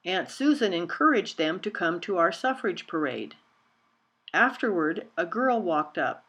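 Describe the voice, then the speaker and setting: A woman's voice: lowish voice, big pitch swings, measured pace, a bit bright, muffled recording. Woman reading a storybook aloud. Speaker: picture book reader. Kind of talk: scripted storybook narration, read aloud.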